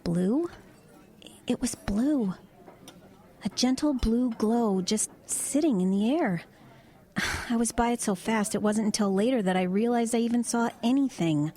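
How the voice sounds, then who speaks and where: An actress playing a wry, low-key woman driver who tells of eerie happenings in a conversational, hesitant way in an audio drama. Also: lively intonation, unhurried, warm tone. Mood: uneasy, hesitant. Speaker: lead actress and narrator. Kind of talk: audio drama narration and dialogue.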